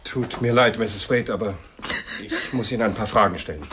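An actor playing a suspicious, authoritative police inspector with a businesslike, questioning manner in a German radio crime drama. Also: male voice, fairly low pitch, normal speed, noisy background, lightly acted. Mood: formal.

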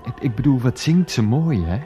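An actor playing an irritable, evasive husband in a Dutch radio play, with a sarcastic, grumbling manner. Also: male voice, mid-range voice, animated, normal speed, natural voice. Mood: placating. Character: irritable, evasive philandering husband, sacked and resentful. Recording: music behind the voice.

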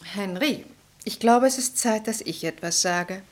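A woman's voice: mid-range voice, measured pace, close to an everyday voice. An actress playing a wise, decisive society lady in a radio play, with a composed, formal and declarative delivery. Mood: resolute. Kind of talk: German radio play acting.

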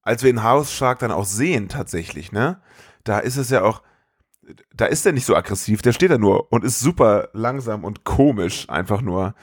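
A man co-hosting a podcast, telling film scenes in a casual, colloquial and animated way. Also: on the low side, normal speed, husky, no echo, clean studio sound. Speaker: podcast co-host. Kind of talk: casual film-review chat.

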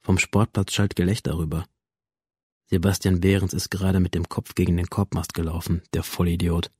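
An actor playing a detached, sardonic teenage loner who narrates his thoughts in a deadpan, laconic way for a radio drama. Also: low-pitched, moderate pace, slightly rough, dry recording, clean studio sound. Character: dead teenage school shooter's digital memory copy, detached, sardonic loner. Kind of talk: radio drama, first-person inner narration.

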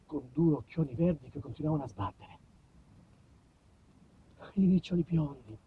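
A man telling a comic stage monologue in an emphatic, dramatic manner, moving between hushed and loud delivery. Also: fairly high pitch, slightly rough, phone-quality sound. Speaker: solo storyteller and actor. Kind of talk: theatrical monologue, comic storytelling.

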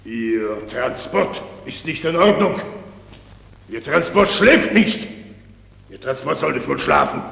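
An actor in a German radio drama playing a pompous, doddering general who gives pedantic, repetitive orders in a creaky voice. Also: male voice, on the high side, sharp tone. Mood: officious, pedantic. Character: pompous, doddering male general inspecting troops. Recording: very echoey hall, long reverb.

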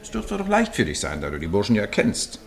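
Very animated, wry gentleman companion. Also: on the low side, fairly quick, gravelly, exaggerated voice. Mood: insistent. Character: lively, loyal companion of amateur detectives, wry and reproachful. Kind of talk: German radio play acting.

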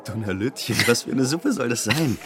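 An actor playing a cheeky, coarse soldier on a food run in a German radio drama. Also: male voice, mid-range voice, expressive, normal speed, music in the background, natural voice. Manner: crude, joking.

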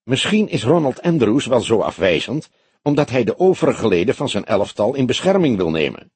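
Guy doing an old detective in an audiobook, laconic and unhurried, bit of a husky voice, dry sound. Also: medium pitch, big pitch swings, darkish tone, no room sound. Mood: pensive, probing. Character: older grey-haired male detective, laconic, unhurried, thoughtful.

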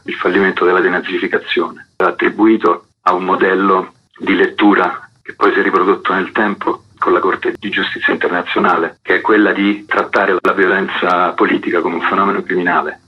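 A man interviewed on radio as a book translator, explaining in a measured, academic way. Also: sits low, sharp tone, slightly rough. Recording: dull, band-limited radio line.

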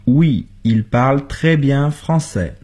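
A husky male voice, speaking very slowly and deliberately. He says each practice sentence for learners, then repeats it word for word. The pace is unhurried, like a drill on a radio lesson. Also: medium pitch, animated, dark tone. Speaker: co-host of a language lesson. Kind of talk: slow model sentences for learners.

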